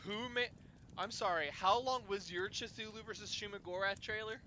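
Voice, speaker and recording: A man hosting an informal chat, telling rambling stories in a halting, animated way and swearing at times. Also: high-pitched, a little hiss or hum. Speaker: host of an informal fireside chat. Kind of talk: casual chat, rambling storytelling.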